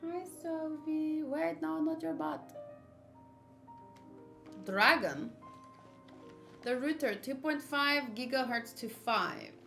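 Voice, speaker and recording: A woman with a bright, fairly high voice talks casually on a game livestream. She thinks aloud and hops between chat and gameplay, with faint game music behind her. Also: takes it slowly, vocal fry, pauses often. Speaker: game streamer. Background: video game music.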